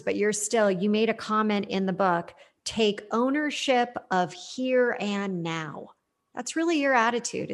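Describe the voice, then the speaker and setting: A woman interviewing a guest, reflecting the guest's words back to her. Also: medium pitch. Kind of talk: interview conversation.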